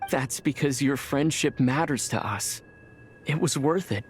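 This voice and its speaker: A woman voicing an earnest, loyal young traveler in a game cutscene, calm and understated. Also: extremely low pitch, unhurried, soft background music. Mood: calm, sincere.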